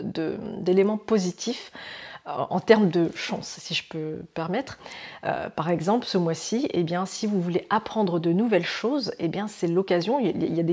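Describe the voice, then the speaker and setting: A woman's voice: mid-range voice, husky. Chatty woman with frequent filler hesitations. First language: French. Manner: hesitant, many fillers and restarts, expressive images. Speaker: astrology channel host. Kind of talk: casual explanatory monologue to camera.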